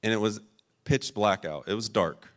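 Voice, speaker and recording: A man preaching a sermon, earnest and emphatic, with loud stressed points and quiet personal asides. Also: fairly low pitch, moderate pace. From rural Ohio. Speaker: pastor.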